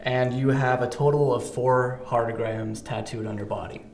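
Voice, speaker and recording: A man with a middle-pitched voice hosts an interview, reading out prompts in an upbeat way and giving quick short replies. His voice rings in a roomy, echoey space with faint noise. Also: steady tone, a little mellow. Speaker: interview host. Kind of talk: casual interview.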